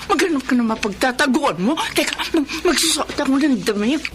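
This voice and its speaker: A frantic, high-pitched mother, defiant though caught in an affair. Her urgent radio-drama delivery swings widely. Loud noise fills the background. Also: expressive, fairly quick. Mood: panicked. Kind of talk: Tagalog radio drama acting.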